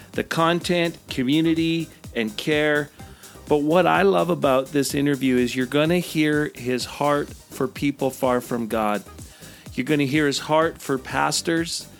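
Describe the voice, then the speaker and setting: Man, podcast host, upbeat, halting with fillers, faint background music. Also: fairly high pitch, vocal fry. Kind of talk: scripted intro then casual interview.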